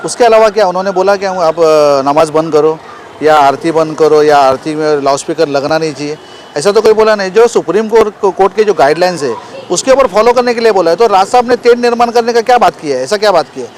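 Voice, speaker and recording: A man's voice: sits high, fast. A man argues his case as a local political leader in an interview. He is insistent and leans on rhetorical questions, with faint street and vehicle noise around him. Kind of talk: answering a news interview.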